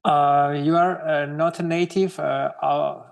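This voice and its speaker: A man in his thirties from Armenia, speaking English as a second language with an Armenian accent, slowly searching for words with many hesitations as an interview guest. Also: a little high, fairly even intonation, creaky. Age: 30s. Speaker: guest artist. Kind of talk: interview conversation.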